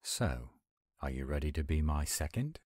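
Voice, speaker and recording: A man playing a gruff, weary but kind werewolf pack leader, measured and plain-spoken, in an audio roleplay. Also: rumbling low, very animated, darkish tone, dry recording, clean studio sound, exaggerated voice. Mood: earnest.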